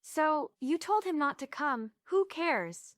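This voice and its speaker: A woman's voice: high-pitched, lively intonation, slow pace, no echo, clean studio sound. A woman playing a wry, world-weary, outspoken heroine in a sardonic manner at a play table read. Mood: fed up.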